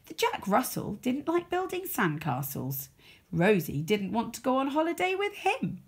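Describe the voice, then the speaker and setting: A woman reading a children's story aloud as a storyteller, expressive, with dramatic emphasis. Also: a little high, moderate pace, slightly rough.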